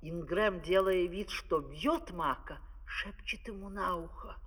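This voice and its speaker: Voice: medium pitch, unhurried, fairly bright tone, breathy edge. A narrator reading scripted stage action for a fairy-tale audio opera. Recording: reverberant vinyl record with music behind.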